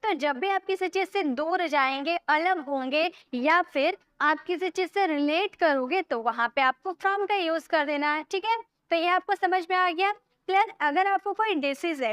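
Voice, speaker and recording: A woman teaching English grammar in an online lesson. She explains in a repetitive way, often checks that her students follow, and says English example sentences slowly as if dictating. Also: extremely high pitch, fairly bright tone, dry recording. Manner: repetitive, checks understanding with frequent questions.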